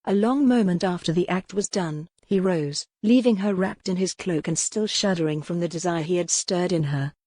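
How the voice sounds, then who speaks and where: A woman's voice: on the low side, normal speed, hardly any pauses, warm tone, no room sound. A woman reading scripted, dramatic historical romance narration as an audiobook narrator.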